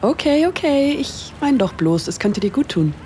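Teasing young friend, ambient noise. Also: female voice, high-pitched, sing-song, moderate pace, warm tone. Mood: casual, coaxing. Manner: casual, playful, teasing. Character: teasing, cheeky young woman, heroine's best friend. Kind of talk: conversational audio drama dialogue.

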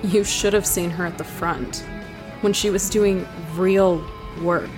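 A woman's voice: fairly low pitch, fairly even intonation, slow, over music. A woman acting a blunt, challenging fighter from the front line in an audio drama. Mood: dry, needling.

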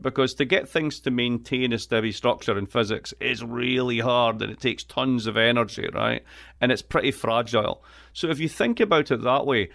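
A man with an east coast Scottish accent, a guest on an interview show, talking in a wry, joking way with quick asides. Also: mid-range voice, vocal fry, a bit bright. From Scotland. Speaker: guest, economist. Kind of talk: lively podcast interview.

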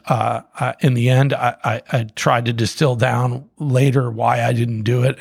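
A middle-aged man with a heavily gravelly, husky voice tells his story unhurriedly, circling back with restarts and fillers. The sound is close and dry, with no room around it. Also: mid-range voice, no room sound. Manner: rambling, many restarts and fillers. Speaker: guest, coffee company co-founder. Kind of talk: casual storytelling.

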